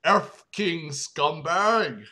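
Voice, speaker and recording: A man voicing a furious middle-aged father who growls threats in a Joker-style manner and bursts into yelling. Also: fairly high pitch, extremely slow, heavily acted. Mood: menacing.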